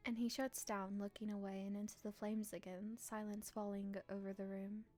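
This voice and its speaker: A woman streamer, playful and expressive, reading a visual novel aloud and chatting on a live stream. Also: mid-range voice, normal speed, soft background music. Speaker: game streamer. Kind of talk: live stream chat and read-aloud narration.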